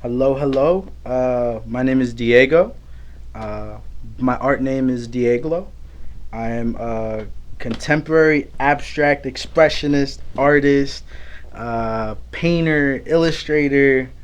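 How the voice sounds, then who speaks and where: A young man, an artist, talking casually about his work in a documentary interview, relaxed and swearing freely. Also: mid-range voice, slow pace. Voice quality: laid-back, swears often, says "bro" and "like".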